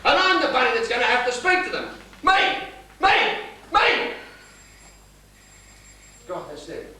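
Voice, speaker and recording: Casting: man playing a blustering, exasperated bride's father in stage farce, very high bright voice, melodramatic delivery. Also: huge pitch swings, a little slow, full character voice. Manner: loud, blustering, exasperated, theatrical. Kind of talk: live comic stage play acting. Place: live stage in a small theatre. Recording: echoey theatre stage, distant mic, faint noise.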